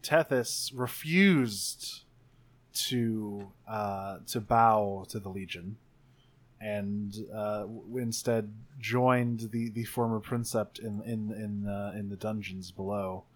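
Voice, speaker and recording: A man improvising as a player in a tabletop roleplaying game, deliberate and pausing mid-sentence to think. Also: lowish voice.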